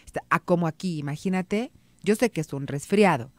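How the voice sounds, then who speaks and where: A middle-aged Mexican woman hosting an advice radio show from a studio, calm and reassuring, with a head cold. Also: on the low side, brisk, no echo. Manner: calm, reassuring, reads listener messages aloud. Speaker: radio psychologist host. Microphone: studio mic on boom arm.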